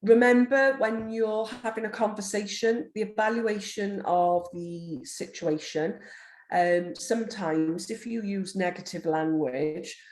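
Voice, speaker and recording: A woman with a deep, gruff voice, a nurse educator giving a recorded online lecture in a forthright, quick manner with frequent ums. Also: a little mellow. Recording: roomy echo.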